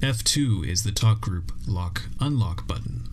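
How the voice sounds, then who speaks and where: A man reading a scripted instructional narration in a measured, step-by-step way as a training video narrator. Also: on the low side, lively intonation, background noise.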